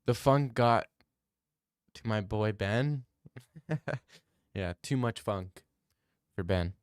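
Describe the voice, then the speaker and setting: A man's voice: lowish voice, takes it slowly, clear, resonant tone, dry recording, clean studio sound. A young man live-streaming from home on a desk mic, bantering loosely with his chat and swearing freely. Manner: loose, profane banter, reads chat aloud and riffs.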